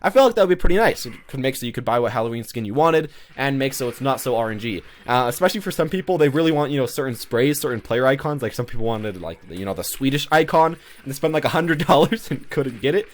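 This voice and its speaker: Fast-talking man rambling casually. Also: mid-range voice, expressive. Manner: rambling, repeats himself, peppers talk with "you know". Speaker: gaming video host. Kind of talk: casual unscripted gaming news commentary.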